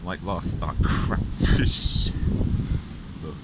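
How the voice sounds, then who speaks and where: A man's voice: sits low, steady tone, drawn-out words. A man, talking casually to his phone as he fishes on a pier, matter-of-fact and often correcting himself. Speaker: angler vlogger. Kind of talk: casual solo commentary. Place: outdoors on a windy seaside pier. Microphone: handheld phone. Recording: loud wind noise on the mic.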